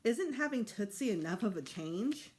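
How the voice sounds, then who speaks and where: A woman's voice: medium pitch, sing-song, normal speed, a little mellow, natural voice. A woman voicing an exasperated, blunt preteen big brother in a storybook, pleading and emphatic. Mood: puzzled, challenging.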